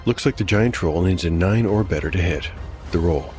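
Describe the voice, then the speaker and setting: Very deep-voiced man narrating over music. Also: moderate pace. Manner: wry asides and mild exclamations between narration. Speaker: game master and narrator of a solo tabletop game. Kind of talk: dramatic narration of tabletop game play with dice commentary. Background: background music.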